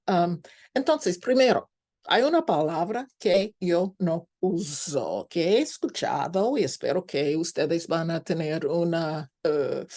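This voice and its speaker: A woman's voice: lowish voice, warm tone. A woman from the United States, a native English speaker, gives an informal academic lecture in Spanish with an American accent, searching for words and posing emphatic questions to her listeners. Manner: halting, searches for words in second-language Spanish. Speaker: guest lecturer, scholar with a doctorate.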